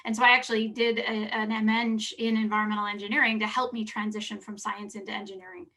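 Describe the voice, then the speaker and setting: A woman's voice: mid-range voice, level tone, fast talker, pushed and bright, recorded from a distance. A woman in her thirties, speaking as a panelist on a video call from a home office, with some hesitant fillers. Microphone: video call, sitting back from camera.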